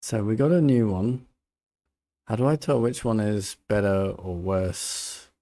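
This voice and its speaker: A man's voice: fairly low pitch, unhurried, dark tone. A man giving casual playthrough commentary as a game streamer, muttering as he thinks aloud.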